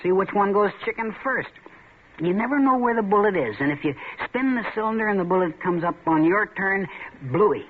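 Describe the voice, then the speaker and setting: A goading young tough. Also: male voice, sits high, lively intonation, brisk. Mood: coaxing. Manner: goading, needling, swaggering. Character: taunting young male tough, Red. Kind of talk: 1940s radio acting. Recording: tinny lo-fi old radio broadcast with faint hiss.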